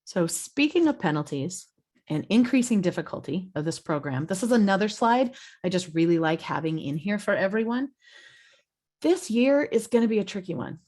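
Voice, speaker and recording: A woman's voice: mid-range voice, very animated, moderate pace, a little mellow. Woman presenting an explanatory webinar. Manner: conversational, explanatory, checks in with "right?". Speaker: webinar presenter, program expert.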